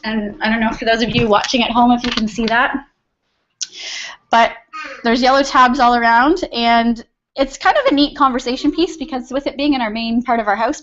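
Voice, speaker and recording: A high-voiced woman presents to her team in an enthusiastic, conversational way, posing questions as she goes. She is from Canada and sounds like an informal motivational speaker. The recording is distant and noisy, picked up across a room. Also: fairly bright tone. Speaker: presenter at a company team meeting. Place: room in a house, live audience. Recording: roomy, distant, dull, noisy live-feed recording.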